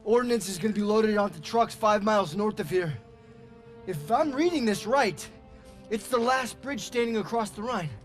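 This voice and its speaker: A man's voice: high voice, moderate pace, natural voice. A man playing a principled American World War Two soldier who stands up to his superiors, in a video game cutscene. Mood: serious. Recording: game soundtrack music behind.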